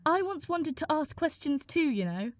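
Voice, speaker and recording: Opinionated young woman, played by an actress, emphatic, blunt, lively high voice, radio play dialogue. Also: moderate pace, dry recording. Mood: confident.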